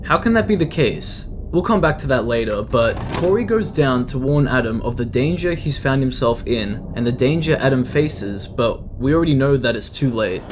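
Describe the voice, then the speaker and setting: A man's voice: medium pitch, expressive, moderate pace, hardly any pauses, noisy background. A man giving scripted, explanatory narration as the host of a video essay.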